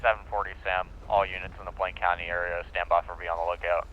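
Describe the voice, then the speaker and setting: A man's voice: sits low, little pitch movement, fast, a little hiss or hum. A man role-playing a police officer making radio calls in an online game, over a muffled voice-chat line.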